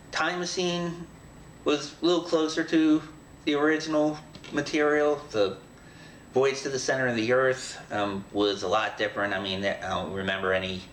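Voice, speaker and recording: A man hosting a show with casual, unscripted commentary, speaking in a halting, rambling way. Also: on the low side, fairly bright tone. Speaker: host of an audio drama show. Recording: roomy echo, faint background noise.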